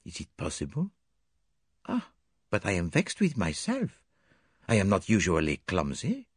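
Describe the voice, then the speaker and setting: A man voicing a precise, excitable Belgian male detective with a fussy French-tinged manner. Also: low-pitched, unhurried, husky, dry recording, clean studio sound, heavily acted. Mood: probing.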